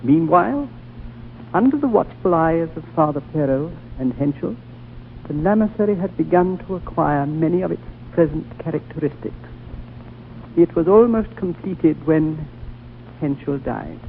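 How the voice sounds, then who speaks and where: An ancient, serene High Lama speaks in measured, gentle tones in a vintage radio play. His voice sits a little high for a man. It all comes through a thin, tinny old broadcast with a faint hiss. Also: animated, creaky. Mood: solemn. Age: older. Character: ancient male High Lama, calm and wise. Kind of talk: 1930s radio drama acting.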